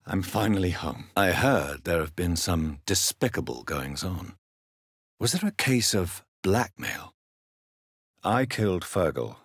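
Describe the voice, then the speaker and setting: A deep, husky vampire doctor. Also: male voice, dry recording, studio quality, heavily acted. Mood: tender, sorrowful, then curious, formal. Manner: formal, measured, articulate, earnest. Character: grave, courteous male doctor turned vampire, 1910s London. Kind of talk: dramatic video game dialogue.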